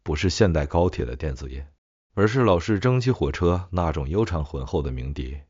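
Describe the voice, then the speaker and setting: Very low male voice, scripted horror narration, echoing, slightly muffled recording. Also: animated, a little fast, darkish tone, husky. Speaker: audiobook narrator.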